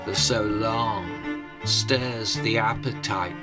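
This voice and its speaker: A man telling stories in a dramatic, theatrical style with deliberate phrasing. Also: lowish voice. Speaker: storyteller. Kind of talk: dramatic oral storytelling. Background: prominent music.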